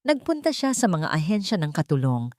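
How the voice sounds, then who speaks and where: A woman narrating a scripted romantic drama in an emotive, deliberate way, phrase by phrase. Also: fairly low pitch, sing-song, a little mellow, dry recording, clean studio sound. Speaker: storyteller, narrator.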